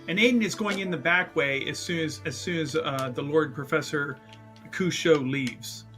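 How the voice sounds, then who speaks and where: A man's voice: a little high, moderate pace, fairly bright tone, faint music behind. A man playing a tabletop roleplaying game, talking casually and emphatically about his character's plan.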